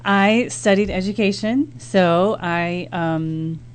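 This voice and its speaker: A woman's voice: mid-range voice, slow. A middle-aged American woman talking casually as a guest in a radio interview. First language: English. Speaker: guest, missionary and former teacher. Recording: background noise and hum.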